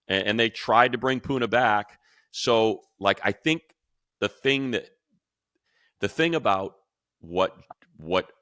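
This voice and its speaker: A man's voice: deep voice, bright, forceful tone, no room sound, clean studio sound. A man hosting an unscripted sports talk show, speaking emphatically and repeating phrases to drive points home.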